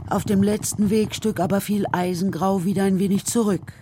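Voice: medium pitch, steady tone, moderate pace, heavy background noise. A narrator telling a children's story in a scripted radio drama style.

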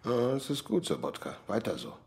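A man's voice: medium pitch, wildly swooping pitch, fairly quick, creaky, a little mellow, husky, exaggerated voice. An actor playing a coldly threatening male terror commander in a crime radio drama, speaking in a curt, commanding manner.

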